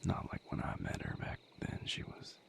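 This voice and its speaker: A man playing a tender, weary vampire mate in an intimate audio roleplay, speaking softly and haltingly in a breathy, gravelly near-whisper. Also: slight background noise, close to an everyday voice. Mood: fond, reflective. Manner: unhurried, halting, soft-spoken with long pauses.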